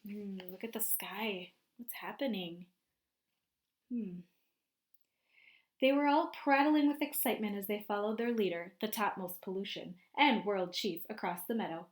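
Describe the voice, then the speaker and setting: A woman teacher reading a picture book aloud to young children, expressive and often prompting her listeners with questions. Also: medium pitch, moderate pace.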